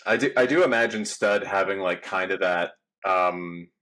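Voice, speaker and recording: A man with a deep voice chatting casually on a podcast and laughing often. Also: moderate pace, pushed and bright. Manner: laid-back, laughs often.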